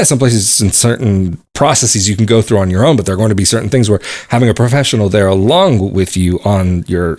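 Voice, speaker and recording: A man's voice: fairly low pitch, darkish tone. A man hosting his own podcast, talking casually and at length, often restarting and hedging his points. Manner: conversational, rambling, many restarts and qualifiers. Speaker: podcast host. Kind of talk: casual solo podcast monologue.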